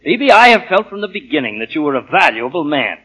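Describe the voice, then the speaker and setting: An actor playing a pompous, flustered, henpecked boss who stammers, in 1940s radio acting. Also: male voice, a little high, very animated, fast, a bit bright, slightly rough, full character voice, poor audio. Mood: flustered bluster. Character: blustering, henpecked male boss who gives in. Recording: 1940 radio broadcast.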